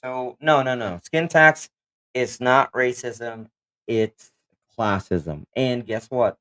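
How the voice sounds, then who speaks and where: A man from the Philippines, a native Kapampangan speaker, co-hosting a casual livestream chat and reading out viewer comments with animated emphasis. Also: mid-range voice, slow. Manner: animated, emphatic, quiz-show asides. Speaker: co-host of a livestream.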